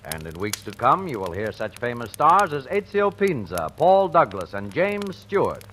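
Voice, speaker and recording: A man announcing a mid-century radio suspense show in a formal, dramatic, measured manner. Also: medium pitch, wildly swooping pitch, fairly bright tone, slight background noise. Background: music.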